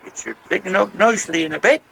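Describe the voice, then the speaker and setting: An actor playing a slow, grumbling, naive old farmhand with a gravelly voice and a rural West Country accent in a radio soap. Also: male voice, high-pitched, fairly bright tone, heavily acted, rough recording. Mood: stubborn, ruminating. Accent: English West Country rural. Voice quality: creaky, gravelly. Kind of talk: 1980s radio soap acting. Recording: hissy 1980s radio broadcast recording.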